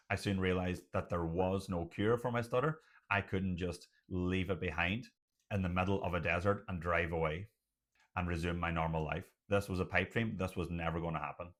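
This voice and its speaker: Low-voiced man giving an earnest motivational talk. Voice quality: stutter. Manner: earnest, emphatic, repetitive phrasing in short measured phrases. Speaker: doctor sharing personal experience of stuttering. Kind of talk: motivational personal talk to viewers.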